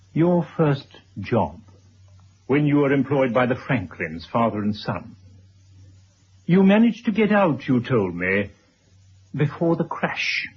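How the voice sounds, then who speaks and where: A man acting a stern, unbending writer in a radio drama, speaking formally and deliberately with a husky voice. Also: mid-range voice, exaggerated voice. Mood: stern, measured. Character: stern, unbending male writer confronting an old acquaintance. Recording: dull, muffled old radio recording.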